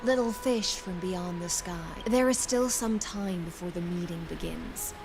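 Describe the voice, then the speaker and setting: An actress playing a composed, enigmatic imperial envoy in a video game, with measured, deferential speech. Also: female voice, lowish voice, over music. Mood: courteous, inviting. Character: courtly, enigmatic female envoy of an emperor, composed.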